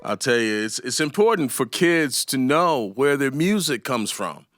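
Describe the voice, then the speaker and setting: Bright-voiced older American man telling stories. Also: medium pitch, very animated, measured pace, faint music behind. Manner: conversational, humorous. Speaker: storyteller and musician. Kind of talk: live storytelling to an audience. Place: studio with live audience.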